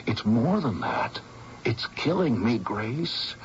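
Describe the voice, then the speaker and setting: An actor playing a frightened, haunted man convinced he is dying, intense and insistent, at times hushed, in a radio drama. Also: medium pitch, huge pitch swings, takes it slowly. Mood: desperate pleading. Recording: dull, noisy old radio drama recording.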